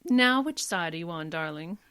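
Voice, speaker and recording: An actress playing a confident, shrewd defense attorney in an old-time radio drama. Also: female voice, fairly low pitch, animated, moderate pace, a bit bright, smooth. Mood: playful, apologetic.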